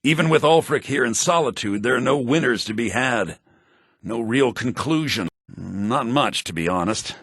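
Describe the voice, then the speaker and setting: A man's voice: mid-range voice, lively intonation, normal speed, a bit bright, breathy edge, no echo, clean studio sound. An actor playing a smug, sly townsman in a fantasy video game, with a sardonic, self-important and theatrical delivery. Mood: somber, matter-of-fact.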